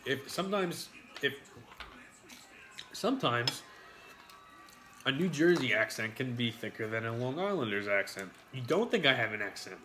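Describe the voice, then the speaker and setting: A man's voice: mid-range voice, big pitch swings, moderate pace, long pauses, sharp tone. A man with a New York accent eating on a live stream, rambling to his chat with frequent swearing and jokes. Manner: rambling, profane, jokey with chat.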